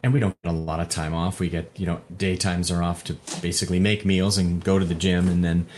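Low-voiced chatty man over background noise. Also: flat delivery, darkish tone. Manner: chatty, jokey, halting with repeats. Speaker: theatre director and actor, interview guest. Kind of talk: casual interview chat. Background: puppy moving about at home.

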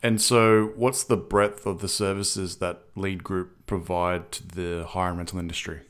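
A man hosting an industry podcast, introducing his guest and asking questions in a measured way. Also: deep voice, flat delivery. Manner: measured, partly scripted introductions. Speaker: podcast host.

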